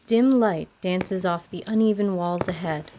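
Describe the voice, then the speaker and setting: A woman narrating a scripted fantasy tale in a measured, literary radio theatre style. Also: lowish voice, warm tone. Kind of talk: scripted descriptive story narration. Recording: faint background noise.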